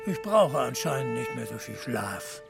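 An actor playing a laconic older small-town man in a radio drama, gravelly and husky, with a dry, understated manner. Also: medium pitch, very animated, a little slow, over music, full character voice. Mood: dry, calm. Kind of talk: naturalistic radio drama acting.

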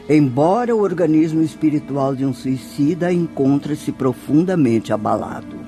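Mellow, solemn spirit mentor. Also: female voice, low voice. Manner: didactic, solemn, formal. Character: wise spirit mentor teaching suicide souls, solemn, compassionate. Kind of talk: radio drama acting.

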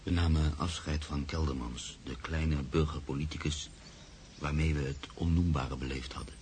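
An actor playing a grave, reflective man who narrates his own story in a radio drama, gravelly and measured. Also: very deep voice, steady tone, darkish tone. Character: Freek, reflective grave man, narrator-protagonist moved by loss. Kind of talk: literary radio drama monologue. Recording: faint background noise.

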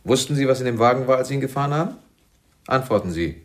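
A man's voice: mid-range voice, expressive, quick pace, lightly acted. An actor in a radio drama playing a suspicious, formal male militant interrogator with a clipped, demanding manner. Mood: suspicious, insistent.

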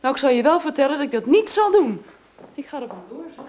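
A woman's voice: sits high, sing-song, fast talker, darkish tone. An actress playing a proud, principled and prickly young woman in a radio drama, curt and indignant in manner. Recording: dull, band-limited radio drama sound.

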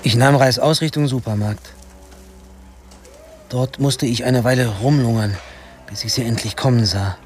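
A man's voice: medium pitch, normal speed, long pauses, noisy background, lightly acted. An actor playing a restless young worker who tells his own story as scripted radio drama narration. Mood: reflective. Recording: loud music behind.

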